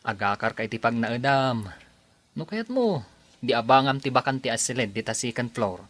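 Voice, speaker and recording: An actor playing a supportive male friend who gives calm advice in a radio drama. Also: mid-range voice, normal speed, lightly acted. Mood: advising.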